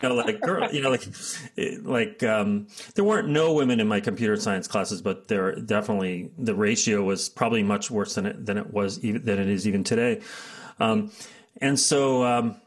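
A man's voice: on the low side, moderate pace. Rambling middle-aged New man. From United States. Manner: rambling, many fillers and restarts, laughs often. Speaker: guest. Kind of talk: casual interview storytelling.

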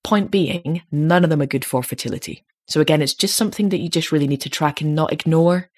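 A woman in her late thirties with a slightly deep voice, chatting casually as a podcast host and growing animated when she makes a point. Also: fast. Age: 30s. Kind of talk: casual chat.

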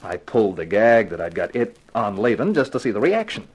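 An actor playing a cynical, wisecracking hardboiled private detective in a 1950s radio crime drama, wry and flippant. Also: male voice, fairly low pitch, big pitch swings, a little fast, husky. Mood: wry, guarded. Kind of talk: 1950s radio crime drama acting with first-person narration.

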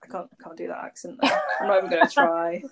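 Lady rambling away on a podcast, lots of like and um, bit of a low voice. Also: fast talker, crowd noise. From Warrington, England. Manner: chatty, rambling, many fillers and restarts. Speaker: podcast guest, agency founder. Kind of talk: casual conversational interview.

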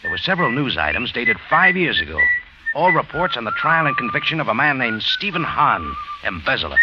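An actor playing a tough, wry American hero who narrates and talks slang in an old-time radio detective drama. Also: male voice, mid-range voice, wildly swooping pitch, brisk, very noisy. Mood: terse narrating. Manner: hard-boiled, wry, slangy. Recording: lo-fi old radio recording with music.

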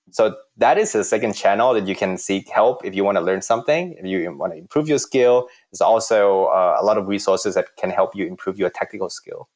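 A young man speaks English with a Thai accent, his voice sitting very low in pitch. He answers interview questions haltingly and pauses often on fillers as he searches for words. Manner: halting, many fillers and restarts. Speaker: interviewee, corporate employee.

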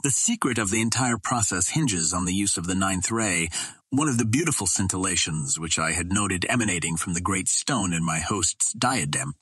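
A man reading a classic adventure novel aloud as scripted narration, in a measured, formal storytelling manner. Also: low voice, lively intonation, fairly bright tone, slightly rough, dry recording. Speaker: audiobook reader.

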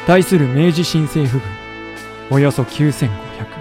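An actor playing a samurai-era veteran who narrates a historical audio drama in a chatty, wry storytelling manner. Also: male voice, fairly high pitch, unhurried, natural voice. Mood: grave, storytelling. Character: colloquial samurai-era veteran recounting his comrades' lost war. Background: background music.

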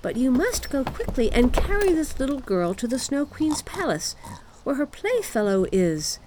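A bossy little robber girl from a storybook, voiced by a woman. She speaks high and emphatically, with lively swings of pitch and a wilful, imperious manner. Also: normal speed, slight background noise, low-quality recording. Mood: conspiratorial, commanding.

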